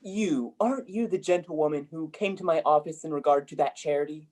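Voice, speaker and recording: Young actor as old reformed miser, hearty, exclamatory, courtly phrasing, mid-pitched, radio drama. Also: a little fast, darkish tone, lightly acted. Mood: hearty, generous. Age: young adult. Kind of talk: 1930s radio play acting.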